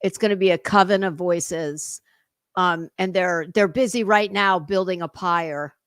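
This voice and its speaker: A woman's voice: lowish voice, unhurried, smooth, no room sound, clean studio sound. A woman giving casual reaction commentary as a livestream host, sarcastic, mocking and foul-mouthed. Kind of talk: casual reaction commentary over a played clip.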